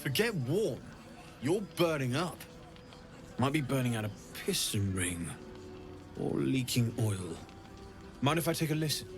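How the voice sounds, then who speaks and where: A keen, expressive fantasy weaponsmith. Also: male voice, medium pitch, a little slow, long pauses. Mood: curious, friendly. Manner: curious, expressive, frank. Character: keen, friendly male infernal mechanic and weaponsmith. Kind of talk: video game character acting. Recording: game audio with music behind. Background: music.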